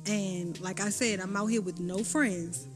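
A woman's voice: mid-range voice, steady tone, measured pace, music in the background. A woman musician in an interview, frankly and reflectively recounting her struggles, swearing casually. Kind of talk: candid interview answers.

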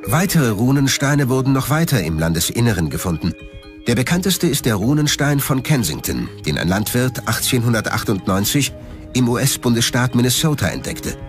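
A man's voice: medium pitch, big pitch swings, normal speed, soft background music. A man reading scripted documentary narration as the narrator of a history programme.

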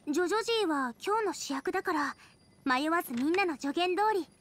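A woman voicing a cheerful, energetic and earnest teenage girl chef in a Japanese anime game. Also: very high voice, brisk. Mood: focused, cheerful.

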